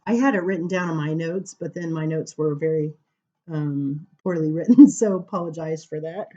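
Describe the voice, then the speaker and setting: A woman reading children's poems aloud at story time, informal, with dramatic emphasis and drawn-out words. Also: low voice, dark tone, lo-fi sound. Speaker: library story time reader.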